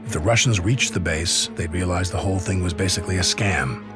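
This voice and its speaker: A man's voice: sits low, a little fast, over music, lightly acted. A man playing a sober soldier narrator, giving scripted dramatic war narration for a game. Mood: sober, reflective. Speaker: story narrator.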